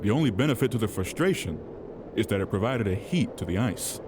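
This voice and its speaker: A man's voice: lowish voice, lively intonation, fairly quick, noisy background. A man reading scripted narration for an audio drama. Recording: music under the voice.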